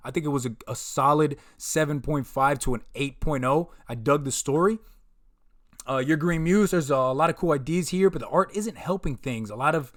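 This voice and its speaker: A man's voice: on the high side, moderate pace. A man hosting a live comic review stream in casual chat, laid-back and slangy, often stumbling and repeating words. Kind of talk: casual livestream chat, reading viewer comments.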